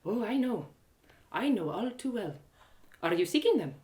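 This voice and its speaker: A woman's voice: medium pitch, huge pitch swings, quick pace. Woman acting a guarded older ex-bandit woman. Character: small, guarded older woman, former bandit, wry and stern. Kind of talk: acted audio story.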